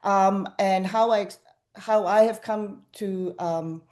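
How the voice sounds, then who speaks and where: A woman's voice: medium pitch, fairly even intonation, slow pace, darkish tone. A woman from Malaysia speaking on a webinar panel over a video call, hesitant, with many fillers and restarts. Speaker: panelist, cultural intelligence practitioner.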